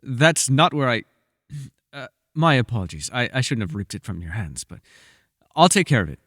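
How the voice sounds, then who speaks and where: Man voicing a wry bookish elf, animated and echoing. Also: mid-range voice, normal speed. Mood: flustered, evasive. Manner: wry, wordy and formal, flustered when pressed. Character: bookish, witty, guarded male elf companion. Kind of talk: fantasy ASMR roleplay acting.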